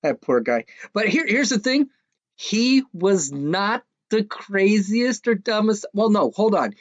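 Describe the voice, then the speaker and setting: A podcast host, a man with a fairly high voice, rants in a casual, scoffing way, tripping over restarts as he mocks what he has just played. He is recorded close and dry, with no room sound at all. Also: animated, slow pace, no echo, lo-fi sound. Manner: mocking, scoffing, rambling with restarts. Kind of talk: casual opinionated rant.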